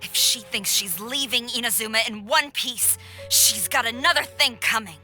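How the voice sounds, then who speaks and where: A woman's voice: on the high side, moderate pace, pushed and bright, faint music behind. A woman playing a feisty, defiant ally in a video game cutscene. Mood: indignant.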